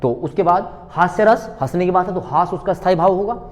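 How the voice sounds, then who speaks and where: Male exam-prep lecturer, slightly high voice, didactic question-and-answer delivery, recorded with long hall reverb. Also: fairly quick. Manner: didactic, asks questions and answers them himself, repeats key points.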